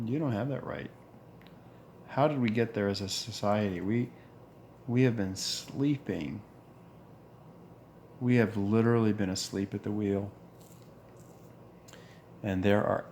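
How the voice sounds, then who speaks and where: A man in his forties with a deep voice, talking earnestly in a reflective monologue, pausing and restarting as he goes. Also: vocal fry, a little mellow, a little hiss or hum. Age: 40s. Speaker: vlogger.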